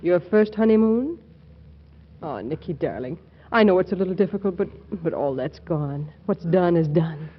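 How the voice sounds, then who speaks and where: An actress playing a needling, suspicious new bride, coaxing and wry, in 1940s radio comedy style. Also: female voice, fairly low pitch, lively intonation, moderate pace, slightly rough. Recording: echoey, dull, faintly noisy radio recording.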